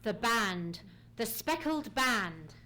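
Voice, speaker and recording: A woman's voice: fairly high pitch, big pitch swings, slow, bright, forceful tone, heavily acted. A woman playing a keen, commanding detective in an old-time radio play, with a dramatic, declamatory delivery. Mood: awed, warning. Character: Sherlock Holmes, keen, commanding male detective. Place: theatre stage, live radio play. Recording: noisy live stage recording.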